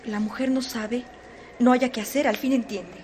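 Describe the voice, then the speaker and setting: A woman narrating a literary radio drama with dramatic, deliberate phrasing. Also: fairly high pitch, fairly even intonation, darkish tone, a little hiss or hum, lightly acted.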